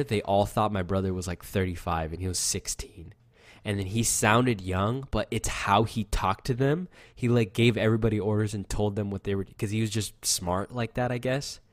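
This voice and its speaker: A young man with a fairly low, youthful-sounding voice, chatting loosely and swearing as he rambles through stories. The sound is dry and close, with no room around him. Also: no echo. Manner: rambling, loose storytelling with lots of fillers and swearing. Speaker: podcast co-host. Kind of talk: casual chat.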